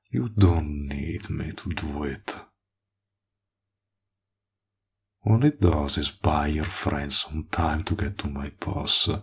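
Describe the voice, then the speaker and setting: A man playing a smug, possessive villain in an audio roleplay, flirtatious and menacing, with a husky, breathy voice and a slow, teasing delivery that dips toward whispers. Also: very deep voice, full character voice. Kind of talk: roleplay audio acting, intimate monologue.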